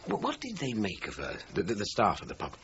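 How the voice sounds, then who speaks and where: An actor playing a middle-aged British police inspector in a radio crime drama, asking thorough, polite questions with thoughtful grunts. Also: male voice, on the low side, huge pitch swings, fast talker, vocal fry, full character voice. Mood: questioning. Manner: questioning, hesitant musing with grunts. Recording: dull lo-fi radio recording, faint noise.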